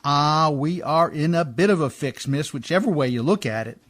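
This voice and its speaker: Man acting a high, folksy riverboat mechanic. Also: normal speed, a bit bright, natural voice. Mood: cautioning. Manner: folksy ungrammatical speech, often adding "miss". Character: folksy, rough-spoken male river-boat mechanic, deferential yet skeptical. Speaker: actor in a community radio theatre. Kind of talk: radio drama acting in an old Hollywood radio style.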